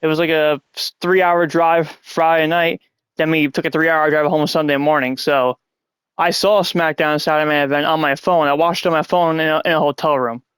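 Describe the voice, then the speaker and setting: Stuffy-nosed man rambling, slightly high voice. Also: steady tone, brisk, fairly bright tone, clear, resonant tone, dry recording. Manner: rambling, sarcastic, often annoyed. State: stuffy nose, coming down with a cold. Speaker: podcast host. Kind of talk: casual wrestling review chat. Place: at home.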